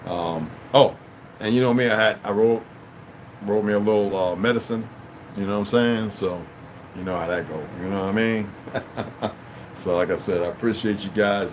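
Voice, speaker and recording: A man hosting a livestream at home, chatting casually and rambling, thanking donors and often asking "you know what I mean". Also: lowish voice. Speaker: livestream host. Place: room at home. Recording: steady air-conditioner hum behind.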